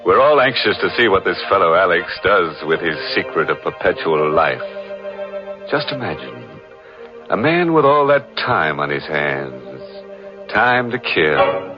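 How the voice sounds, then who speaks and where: A deep-voiced man hosts an old-time radio mystery with wry, ghoulish jokes and a lively, swooping delivery. The recording is dull and dated, with music running behind him. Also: a little slow. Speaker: host of the radio mystery show. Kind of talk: 1940s radio host patter.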